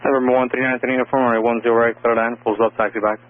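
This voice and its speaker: A thin, band-limited air traffic radio transmission. A man with a medium-pitched voice speaks as tower controller, clipped, firm and authoritative. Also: level tone, very fast, phone-quality sound. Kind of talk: clipped radio instructions and rebukes.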